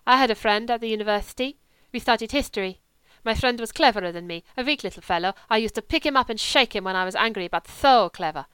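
Woman playing a louche young German with a lisp, loud, broken English, clean dry sound. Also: a little high, fast, hardly any pauses, smooth, no echo, close to an everyday voice, rough recording. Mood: offhand. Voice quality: lisp from a missing tooth. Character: lolling young German man, wolfish and louche.

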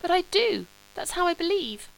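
A whimsical, petulant young aristocrat, as a woman puts him on in a storybook reading. His voice sits high above the narrator's and lilts with breezy, teasing excitement. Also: moderate pace, vocal fry, full character voice. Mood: eager.

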